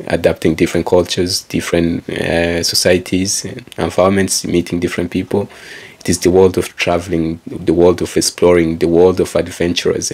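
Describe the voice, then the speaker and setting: A man's voice: sits low, gravelly, darkish tone, husky. A man whose first language is Kikongo, giving a rambling motivational monologue and addressing listeners like a presenter. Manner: rambling, circles back with repeated phrases. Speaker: author and speaker.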